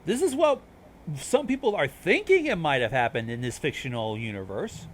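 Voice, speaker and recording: Male host with a high-pitched voice, informal, sweary, rambling explanations, slight noise behind him. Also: big pitch swings, moderate pace. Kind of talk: casual explanatory commentary.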